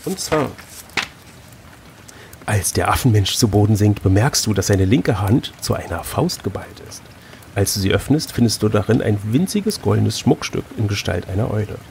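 A man reading an adventure gamebook aloud as host, switching between expressive narration and casual chat. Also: lowish voice, normal speed, gravelly, slight background noise.

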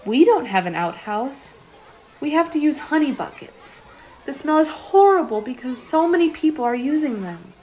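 Woman acting sentimental young girl, radio play, earnest, high pitch. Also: big pitch swings, moderate pace, a little mellow, slight background noise. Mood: wistful.

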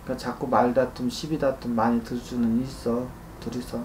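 A man's voice: medium pitch, little pitch movement, brisk, darkish tone. A man in his thirties speaks as a fortune-teller giving informal counsel. He is blunt and admonishing, checking in with short grunted questions. The room rings a little, with faint noise underneath. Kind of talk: spoken consultation, informal advice. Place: small indoor consultation room. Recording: roomy echo, faint background noise.

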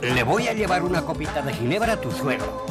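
An actor playing a cheerful, coaxing elderly gnome at a party in a cartoon. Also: male voice, on the high side, very animated, brisk, over loud music. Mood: helpful. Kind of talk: Spanish-language cartoon dub acting.

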